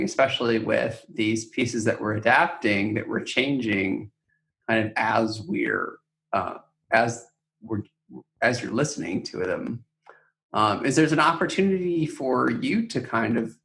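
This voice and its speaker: A man co-hosting a podcast, speaking conversationally in a thoughtful, measured manner. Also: mid-range voice, creaky, a bit bright, low-quality recording. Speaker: podcast co-host. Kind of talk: conversational podcast talk about a theater project.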